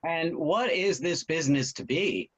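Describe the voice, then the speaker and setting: A man's voice: fairly high pitch, a little slow, a bit bright. A man acting a doubtful, puzzled older father who questions hesitantly in a stage-play table read. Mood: grave. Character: doubtful, measured older father of grown children.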